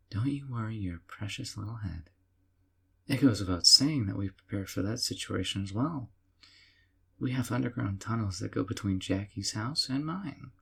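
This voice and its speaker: An actor playing a sweetly possessive young yandere man in an audio roleplay, doting and softly teasing. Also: lowish voice, gravelly, slightly rough, exaggerated voice. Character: doting obsessive young male yandere captor.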